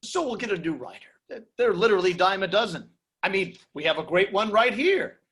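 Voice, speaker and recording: A man's voice: sits high, expressive, fairly bright tone. A man playing a brash, flustered Hollywood studio boss who stammers his way through a script table read. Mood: glib. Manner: stammering, fast-switching, salesman-like.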